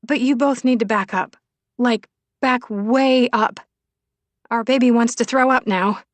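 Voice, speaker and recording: Woman voicing a sardonic, emotional young wife, pitched up. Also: a little slow, no room sound, natural voice. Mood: wry.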